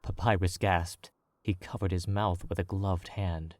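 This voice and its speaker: A man narrating a fan fiction as an audiobook, in a measured, wry storytelling manner. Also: sits low, steady tone, darkish tone, no echo.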